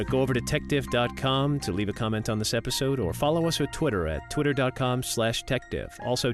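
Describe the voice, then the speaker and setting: A man hosting his audio drama podcast, giving credits and chatting directly to listeners. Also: mid-range voice. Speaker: audio drama creator and host. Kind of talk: podcast outro, friendly direct address.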